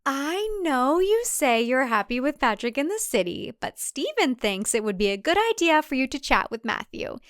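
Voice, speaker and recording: A woman voicing a brainwashed, robotically cheerful tradwife in a cult thriller audiobook, with a perky over-bright delivery. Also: high-pitched, sing-song, moderate pace, hardly any pauses, clean tone, dry recording, clean studio sound, pitched above the performer's own voice. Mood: glazed, reciting. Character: brainwashed chipper young tradwife cult member, eerily cheerful.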